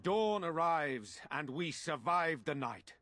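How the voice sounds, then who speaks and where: A man's voice: on the high side, lively intonation, bright, forceful tone, faint music behind, full character voice. Formal, dignified fantasy nobleman. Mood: solemn gratitude. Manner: formal, measured oratory. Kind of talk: dramatic video game acting.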